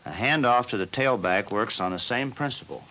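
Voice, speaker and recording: A man narrating a football training film as the team's coach, in a measured and plain instructional manner. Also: mid-range voice, sharp tone, rough recording.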